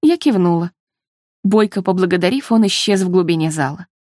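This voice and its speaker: A woman reading literary narration in a measured, expressive way. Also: on the low side, no room sound, studio quality. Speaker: audiobook narrator.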